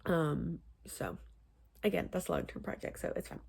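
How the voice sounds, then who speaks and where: A young woman chatting casually about her crochet projects, rambling with many fillers and restarts, her voice a little husky. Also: sits low, huge pitch swings, normal speed, darkish tone. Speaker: crochet vlogger. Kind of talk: casual chat.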